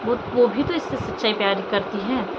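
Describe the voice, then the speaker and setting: A woman's voice: fairly high pitch, normal speed. A woman from India vlogging informal, opinionated commentary to viewers in an emotive way, with rhetorical questions and repetition. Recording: dull lo-fi audio, loud vehicle noise.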